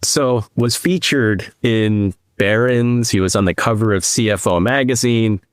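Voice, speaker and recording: Measured middle-aged man, dry close sound. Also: on the low side, clear, resonant tone, no room sound. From New Jersey, US East Coast. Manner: measured, reflective, speaks in long explanatory runs. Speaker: podcast guest, financial advisor. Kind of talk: conversational interview storytelling.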